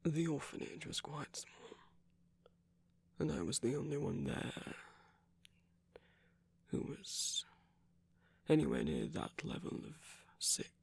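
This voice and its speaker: A man playing a gentle, wry villain who uses magic, speaking softly and haltingly in an intimate ASMR roleplay with a breathy, husky voice. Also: a little high, wildly swooping pitch, heavily acted. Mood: wistful, confiding. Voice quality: breathy, husky, slightly creaky. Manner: measured, halting, hushed delivery with pauses. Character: gentle, wry villain magic user comforting a loved one.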